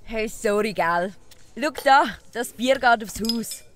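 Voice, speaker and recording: A woman's voice: fairly high pitch, slow pace, a bit bright, slight background noise. Woman playing a chatty Swiss German tavern keeper. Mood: apologetic. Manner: no-nonsense, gossipy. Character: brisk, chatty female village tavern keeper. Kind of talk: comic audio drama acting.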